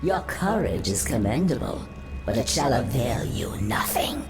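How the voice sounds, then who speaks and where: Very deep-voiced, haughty sea witch. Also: very animated, loud music behind the voice, heavily acted. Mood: haughty menace. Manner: condescending, drawn-out, silky menace. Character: haughty, menacing female Naga sea witch, ancient and cursed. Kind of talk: dramatic video game voice acting.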